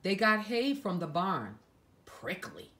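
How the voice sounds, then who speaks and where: Female picture-book reader for young kids: unhurried, highly expressive intonation, dramatic emphasis, hushed asides. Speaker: storyteller reading a picture book aloud to children. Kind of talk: expressive read-aloud storytelling for young children.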